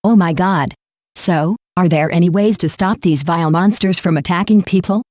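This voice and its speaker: A woman plays a concerned local news host in a mock TV broadcast. Her voice is fairly low and very mellow, polite and formal, recorded dry. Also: normal speed, no room sound, close to an everyday voice. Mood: alarmed.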